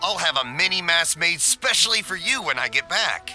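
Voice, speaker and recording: An actor playing a friendly, easygoing middle-aged festival stall keeper in a game cutscene. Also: male voice, on the high side, lively intonation, normal speed, sharp tone, soft background music. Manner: hearty, easygoing and generous.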